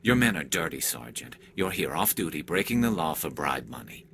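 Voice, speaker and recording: A man's voice: very deep voice, big pitch swings, fairly quick, fairly bright tone, a little hiss or hum, exaggerated voice. A man playing a stern, principled soldier companion in a video game, serious and plain-spoken. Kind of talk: video game dialogue acting.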